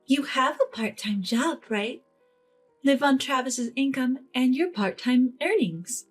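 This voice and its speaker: Woman as a firm, scolding mother-in-law, high voice. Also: expressive, takes it slowly, faint music behind, full character voice. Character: stern, exasperated older mother-in-law lecturing the bride. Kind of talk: dramatized online family story.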